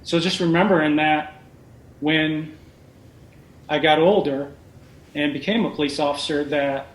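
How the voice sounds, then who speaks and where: Measured man in his forties, roomy sound. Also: a little high, steady tone. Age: 40s. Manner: measured, hesitant with frequent uhs. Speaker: former police officer. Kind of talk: interview testimony. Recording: roomy echo.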